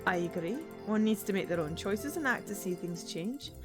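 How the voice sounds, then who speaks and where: A woman voicing a calm, doubtful young man, a devoted sweetheart, in game dialogue. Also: mid-range voice, moderate pace, over music, lightly acted. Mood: cautious. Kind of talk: dramatic reading of game dialogue.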